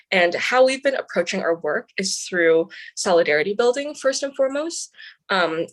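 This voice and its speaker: A young woman from the San Francisco Bay Area, a student senator, giving earnest, articulate interview answers with frequent "um" fillers. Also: mid-range voice. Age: young adult.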